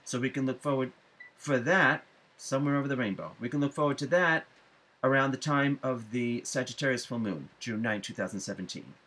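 Bright, forceful man, emphatic. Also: mid-range voice, slightly rough, poor audio. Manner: deliberate, emphatic phrasing with frequent pauses. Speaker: astrology forecaster and psychic medium. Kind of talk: spiritual forecast vlog, addressed to viewers. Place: small room at home, indoors.

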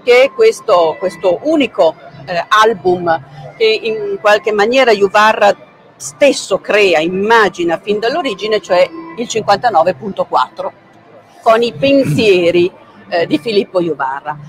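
A middle-aged woman, a curator presenting a book at a public talk, speaking in a measured way with frequent hesitant pauses, recorded by a camera at some distance in a large book fair hall. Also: medium pitch, animated, soft background music. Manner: measured, frequent "eh" pauses, gestures while talking.

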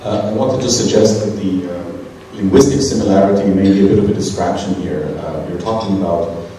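A man commenting from the audience at a conference in a direct, measured way. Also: sits low, warm tone, a little hiss or hum. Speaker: audience member. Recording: echoey hall from far off.

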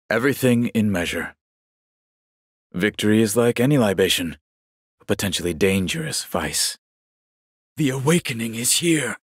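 A man voicing a genial, vain old warrior monk in a video game, speaking in a measured, courtly and wryly pious manner. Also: mid-range voice, a bit bright, breathy edge, no echo, clean studio sound. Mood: calm, wry. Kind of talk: scripted game character voice lines.

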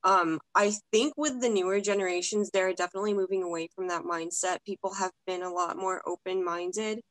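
A woman's voice: mid-range voice, steady tone, a little fast, fairly bright tone, dry recording, rough recording. A young woman from Russia, a guest talking casually over a video call from home, with many filler words. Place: home room, indoors.

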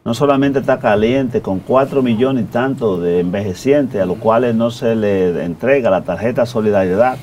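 Male political panelist, Dominican accent, average pitch, studio with faint noise behind. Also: normal speed, few pauses, a little mellow.

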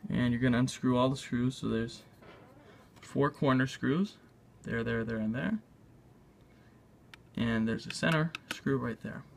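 A man narrating a casual hands-on tutorial in a halting, unscripted way, thinking aloud as he works. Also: mid-range voice, gravelly, slight background noise. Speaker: video maker demonstrating a repair. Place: indoors at a tabletop.

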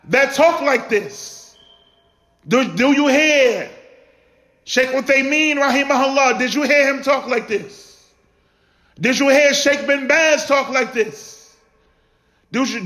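High-pitched man preaching slowly and passionately. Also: bright, forceful tone. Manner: exhortatory, emphatic repetition and rhetorical questions. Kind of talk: impassioned sermon. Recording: echoey room.

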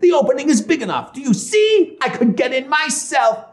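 Guy doing a nasty cackly old witch lady, high n swoopy, storybook style, bit echoey. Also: sing-song, normal speed, darkish tone. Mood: scornful, scheming. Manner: exaggerated, spiteful, scolding. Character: wicked old witch woman, feigning sweetness, cruel and cackling.

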